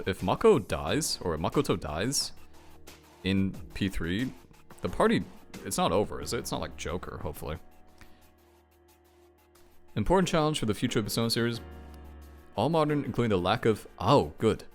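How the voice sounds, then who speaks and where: A man with a low, lively voice reacts casually to video game news. He thinks aloud, swears freely and exclaims, his pitch swinging widely. Faint music plays behind him. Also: moderate pace, long pauses.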